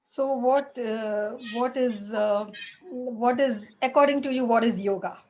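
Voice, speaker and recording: Woman hosting an online chat show, fairly high voice, muffled lo-fi sound. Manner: warm, halting with many fillers. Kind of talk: casual interview chat.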